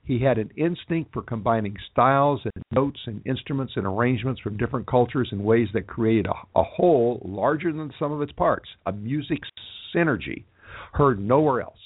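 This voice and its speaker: A man hosting a radio show, reading a sponsor spot and interviewing guests, often pausing and restarting with fillers. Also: medium pitch, husky, no echo. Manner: halting, many fillers and restarts. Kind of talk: live radio interview and ad read. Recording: dry, phone-like lo-fi sound.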